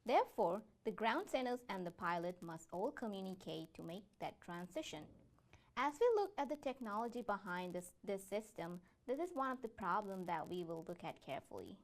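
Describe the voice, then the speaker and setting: A woman presents scripted educational narration. Her voice sits at a middling pitch for a woman, and she reads as a presenter explaining material to learners. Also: expressive, moderate pace.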